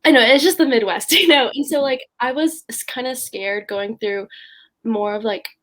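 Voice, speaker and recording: Teenage girl, fairly high voice, podcast guest, casual, hesitant phrasing. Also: moderate pace, fairly bright tone. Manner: hesitant, frequent "like". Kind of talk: casual personal chat.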